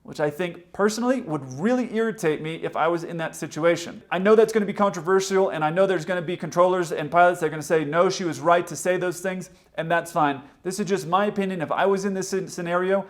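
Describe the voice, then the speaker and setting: A man's voice: high-pitched, fast, hardly any pauses. A man, a pilot hosting a video, explains flying procedures in a plain-spoken, opinionated commentary. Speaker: pilot and video host explaining aviation radio.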